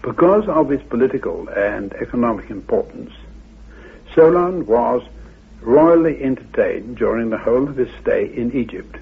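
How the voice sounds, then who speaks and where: A man giving an explanatory historical account as an expert, in measured, erudite phrasing. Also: mid-range voice, creaky, slightly rough. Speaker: expert on Atlantis. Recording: narrow phone-like old radio audio.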